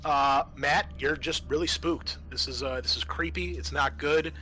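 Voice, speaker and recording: A man's voice: a little high, fairly even intonation, moderate pace, pushed and bright, slight background noise. A man running a tabletop roleplaying game as game master, talking casually and swearing freely.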